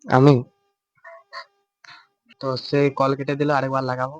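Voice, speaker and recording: A man's voice: mid-range voice, monotone, unhurried, dull audio, faint music behind. A young man making a casual prank call, teasing and evasive as he repeats himself. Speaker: prank caller and video creator. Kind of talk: casual prank phone chat.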